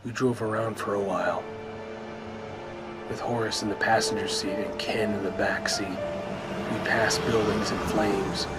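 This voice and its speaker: A man's voice: fairly low pitch, close to an everyday voice. An actor playing a tense survivor of a zombie outbreak, narrating his escape in the first person for a radio play. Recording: loud music under the voice.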